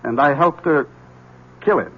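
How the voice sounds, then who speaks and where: Brief: a desperate husky man, tense and urgent, slightly high voice, dull old radio sound. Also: unhurried, pushed and bright, exaggerated voice. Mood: haunted, urgent. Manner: tense, urgent, bursts of agitation. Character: haunted, desperate ex-husband warning a rival. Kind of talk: 1970s radio drama acting. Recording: dull 1970s radio broadcast.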